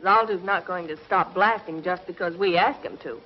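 A woman's voice: lowish voice, normal speed, close to an everyday voice. A young woman playing an earnest, anxious rocket crew member in a 1950s television serial. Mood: worried. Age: young adult. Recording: dull, echoey, noisy 1950s TV soundtrack.

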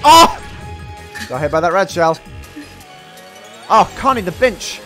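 A man in his twenties giving excitable, sweary casual commentary while gaming with friends, on a close microphone. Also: high-pitched, slow. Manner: excitable, exclamatory, swears freely. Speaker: game streamer. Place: indoor room streaming setup. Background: video game music.

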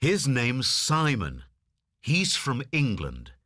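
A woman's voice: rumbling low, wildly swooping pitch, a bit bright, dry recording, clean studio sound, pitched below the performer's own voice, exaggerated voice. A woman reading lesson questions and sentences in a coursebook recording. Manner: slow questioning.

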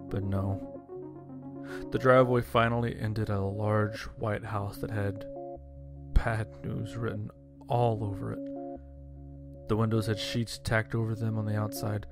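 A man narrating a scripted first-person horror story in a measured, dramatic way. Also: fairly low pitch. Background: music.

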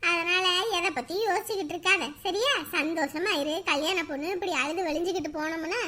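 An actress playing a kindly woman of the family who gently comforts a young bride-to-be. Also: very high voice, fairly quick. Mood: comforting. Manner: comforting, folksy. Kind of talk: TV serial drama.

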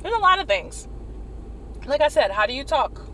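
A young woman from Maryland giving animated, wry commentary to the camera, repeating phrases for emphasis. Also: sits high, normal speed, a little hiss or hum. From Maryland, USA. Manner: animated, wry, repeats herself when emphasizing, laughs at points. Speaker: vlogger. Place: small indoor room against a plain wall.

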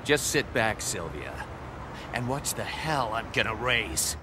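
A man's voice: fairly low pitch, sing-song, slow, loud music behind the voice. A man voicing a brash, vengeful young assassin in a video game, cocky, sardonic and foul-mouthed. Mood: angry, determined. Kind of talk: video game cutscene acting.

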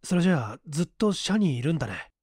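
A man's voice: on the high side, very animated, fast talker, a little mellow, slightly rough, no room sound, clean studio sound, pitched above the performer's own voice. A man voicing a serious, concerned male colleague in an audiobook detective story, sober and matter-of-fact. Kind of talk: Japanese audiobook character acting.

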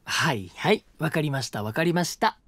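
A gentle, mature university student next door. He talks politely and calmly, with a lively lilt and a touch of teasing. The recording is a dry, close studio take. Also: a little high, moderate pace, no echo. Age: 20s. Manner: soft, polite and calm, lightly teasing. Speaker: voice actor. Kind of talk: voice drama acting. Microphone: close studio mic.

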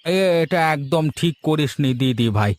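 A man's voice: fairly high pitch, expressive, a little slow, no room sound. A man voicing an old village fisherman who dotes on a little girl, folksy and fond in a cartoon. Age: older. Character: old village fisherman uncle, jovial and doting. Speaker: voice actor. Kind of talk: cartoon dubbing. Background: music.

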